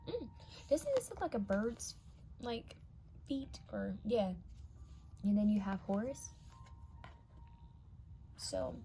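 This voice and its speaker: Young woman doing a tarot reading, low mellow tone, a bit raspy and gravelly, whispers while she reads the cards. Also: slight background noise, low-quality recording. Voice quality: slightly raspy from many readings. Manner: intuitive, addresses viewers directly, drops to whispers while reading cards. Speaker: tarot card reader. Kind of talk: casual pick-a-card tarot reading.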